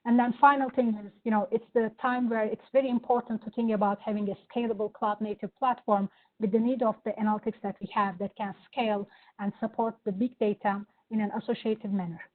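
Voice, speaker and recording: Turkish-accented woman presenter, higher voice, eager demo delivery, narrow phone-like call audio. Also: fairly even intonation, fast. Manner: enthusiastic, many fillers. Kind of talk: live software demo talk. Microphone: video call. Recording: phone-like online meeting audio.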